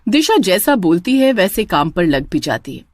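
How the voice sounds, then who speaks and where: A woman's voice: on the low side, sing-song, normal speed, a little mellow, no echo. A woman narrating a scripted story as storyteller.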